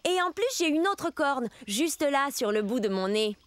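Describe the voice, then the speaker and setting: A woman's voice: high voice, animated, normal speed, background noise. A woman voicing a friendly, chirpy horned dinosaur who explains things to young viewers in an educational children's cartoon. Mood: cheerful, proud. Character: friendly, chirpy little horned Zuniceratops dinosaur with itchy back.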